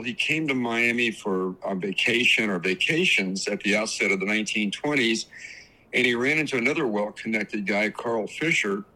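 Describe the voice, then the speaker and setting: A man's voice: on the low side, bright, forceful tone, low-quality recording. A man speaking as a historian guest, telling history conversationally in a folksy, meandering, anecdotal way.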